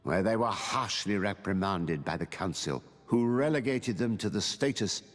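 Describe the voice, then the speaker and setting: An actor playing an elderly mentor who narrates a fantasy game's epilogue in a solemn, measured storytelling manner. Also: male voice, lowish voice, animated, long reverb tail, natural voice. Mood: grave, measured. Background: music.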